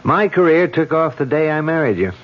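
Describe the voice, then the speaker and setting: An actor playing a confident, affectionate, wry police detective husband in a 1970s radio drama. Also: male voice, a little high, lively intonation, a little fast, natural voice. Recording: dull vintage radio broadcast.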